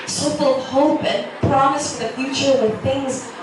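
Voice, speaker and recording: A woman playing an earnest, wistful young medical student in a halting, sincere dramatic reading. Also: high voice, steady tone. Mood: wistful wonder. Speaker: reader at a literary festival. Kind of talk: dramatic reading of a romance novel's dialogue. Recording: echoey, noisy room from far off.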